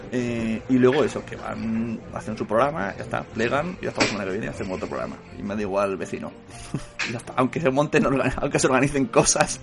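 A man's voice: mid-range voice, vocal fry. Laughing male podcast host. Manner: joking, laughs often. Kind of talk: casual roundtable chat.

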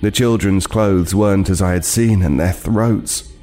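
A grave, haunted horror narrator. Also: male voice, sits low, measured pace, warm tone, soft background music. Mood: grim dread. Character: haunted homeless man, guilt-ridden immortal murderer. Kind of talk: dramatic first-person horror narration, audio play.

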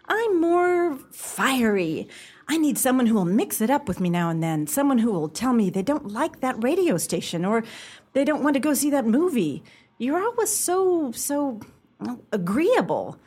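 A woman's voice: sits high, big pitch swings, moderate pace, darkish tone, slightly rough, exaggerated voice. An actress playing a blunt, fiery young woman in a radio play, forthright and exasperated. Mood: exasperated, insistent.

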